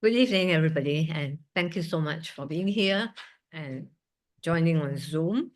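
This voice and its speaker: A woman's voice: low-pitched, animated, normal speed. A woman in her sixties from Singapore, a surgeon giving an earnest talk at a museum event with chatty asides. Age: 60s. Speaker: guest speaker, surgeon. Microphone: video call.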